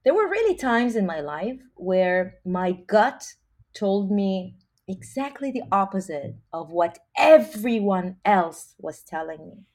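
Animated woman, laughs a lot. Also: medium pitch, takes it slowly. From Israel. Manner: animated, laughs often, frequent restarts. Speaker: singer and actress, guest. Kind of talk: casual interview chat.

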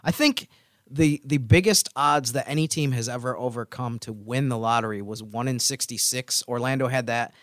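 A man co-hosting a casual sports podcast, talking in a measured, explanatory way. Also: medium pitch, a bit bright, dry recording, clean studio sound.

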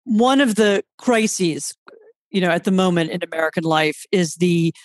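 A man's voice: sits high, moderate pace, no room sound. A man interviewing a guest, asking long, thoughtful questions with restarts and repeated words.

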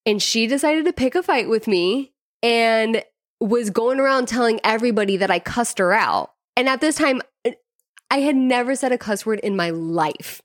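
A woman's voice: a little high, lively intonation, moderate pace, no room sound, clean studio sound. A woman telling personal stories in casual conversation, informally, with "like" sprinkled through her sentences. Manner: informal, peppers speech with "like". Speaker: podcast co-host. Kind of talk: casual chat, personal storytelling.

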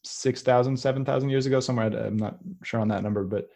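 A man's voice: mid-range voice, fairly even intonation, fast, gravelly, mellow. A young man hosting a podcast, talking casually and rambling, with many restarts and tangents. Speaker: podcast host. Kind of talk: casual conversational interview.

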